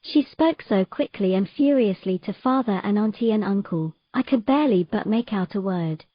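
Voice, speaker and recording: A woman playing a sorrowful young mermaid in an audio drama, telling of her past in a gentle, measured, halting way. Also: medium pitch, expressive, dry recording, muffled recording, lightly acted. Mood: hushed, fearful.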